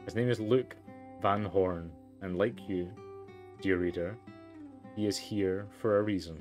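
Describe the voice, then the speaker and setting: A man reading as a formal, measured storybook narrator in a story game. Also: low-pitched, steady tone, a little mellow, faint music behind. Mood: measured, solemn. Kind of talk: scripted narration.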